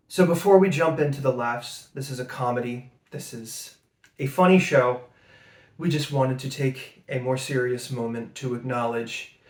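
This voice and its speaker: A man speaking as a director, giving an earnest spoken introduction and tribute with emphatic, paused phrasing. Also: mid-range voice. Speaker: director introducing an audio play. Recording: roomy, echoing sound.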